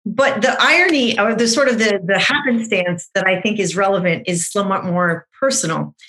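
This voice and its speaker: A middle-aged woman whose first language is French, guesting on an interview and telling her story in a chatty, animated way with frequent restarts. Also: mid-range voice, normal speed, low-quality recording. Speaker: marketing consultant guest. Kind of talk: conversational interview.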